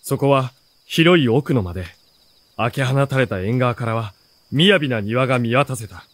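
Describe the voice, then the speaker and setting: Man as an animated young adventure narrator. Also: mid-range voice, unhurried, no echo, close to an everyday voice. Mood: lyrical narration. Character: observant young male companion narrating an adventure. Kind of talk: dramatic scripted narration.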